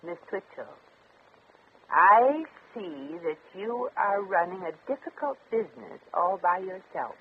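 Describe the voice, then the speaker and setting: An actress playing a breezy, worldly, wisecracking fortune teller with a flip, streetwise manner, in 1950s radio comedy style. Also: female voice, mid-range voice, expressive, measured pace, close to an everyday voice. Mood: knowing, coaxing. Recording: crackly, tinny old radio recording.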